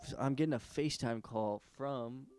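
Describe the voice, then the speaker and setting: A man's voice: medium pitch, moderate pace, darkish tone, faint music behind. A man in his twenties co-hosting a podcast in casual, rambling chat, with a nasal voice, swearing and laughing often. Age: 20s. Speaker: podcast co-host.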